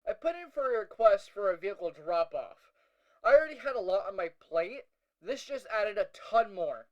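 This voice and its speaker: A young male hero , acted by a man, records his audio log in a high-pitched voice. He talks conversationally and a little hesitantly, as if thinking it through. His storytelling is uneasy, with dry, wry asides in the manner of an audio drama. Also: very animated, normal speed, muffled recording. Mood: wry, weary. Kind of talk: first-person audio log narration, conversational.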